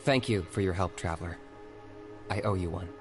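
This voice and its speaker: A stoic young hunter with a lowish, even voice, measured and reserved, weighing each word, voiced by a man. Game music plays clearly behind him. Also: natural voice. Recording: game soundtrack playing behind.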